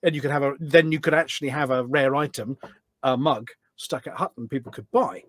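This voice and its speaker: A middle-aged man chatting casually as a podcast guest, telling stories in an animated way. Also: mid-range voice, brisk, dry recording, studio quality. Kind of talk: casual anecdotal chat.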